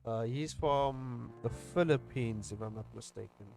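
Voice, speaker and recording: A man's voice: mid-range voice, measured pace, vocal fry, a little mellow, soft background music. A young South African man with a South African English accent, chatting casually on a livestream while he draws, rambling with lots of fillers and restarts. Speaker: webcomic streamer.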